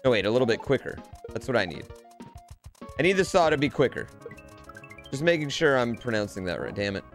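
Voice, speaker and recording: A man's voice: mid-range voice, brisk. A man, around his thirties, streaming a video game with casual, slangy, sweary commentary to his chat, speaking into a close desk microphone at home. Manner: profane, excitable, slangy. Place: home streaming room, indoors. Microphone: close desk microphone on an arm. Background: video game music.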